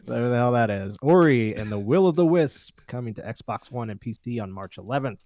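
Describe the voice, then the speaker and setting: A man's voice: medium pitch, animated, fairly quick, darkish tone, dry recording. A man hosting a casual podcast, chatting with the group and reading through a list. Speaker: podcast host.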